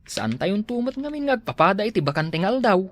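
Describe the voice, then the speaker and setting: A woman's voice: mid-range voice, big pitch swings, fairly quick, soft background music. A woman with an Ilocano accent acting a fretting, complaining village relative in a comedy drama. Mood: grumbling.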